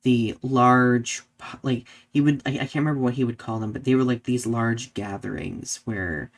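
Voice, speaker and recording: A man co-hosting a live podcast in casual discussion, halting and peppering his talk with "like" and questioning tags. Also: medium pitch, fairly even intonation. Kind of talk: casual discussion, reading viewer comments.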